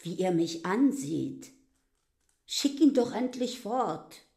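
A woman's voice: medium pitch, very animated, slow, vocal fry, darkish tone, exaggerated voice. A woman playing an irritable, disdainful innkeeper's wife in a German radio drama, speaking in a pointed, peevish way. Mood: affronted, suspicious.

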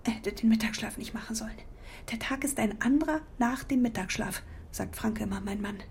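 A woman's voice: a little high, brisk, vocal fry, a little mellow, a little hiss or hum. An actress playing a worried, apologetic middle-aged German wife and mother in a naturalistic radio drama, speaking hesitantly. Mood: anxious, searching.

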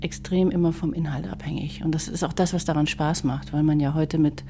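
Interviewee, woman, low-pitched and very soft-edged in tone, giving thoughtful, detailed explanations. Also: fairly even intonation, a little fast, a little hiss or hum. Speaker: composer and radio drama maker. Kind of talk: reflective interview statement.

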